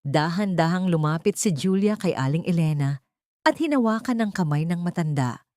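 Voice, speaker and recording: A woman reading a dramatic audiobook story, voicing every character herself in one steady storytelling voice. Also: fairly low pitch, normal speed, no room sound, clean studio sound. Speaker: audiobook narrator. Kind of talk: scripted dramatic narration.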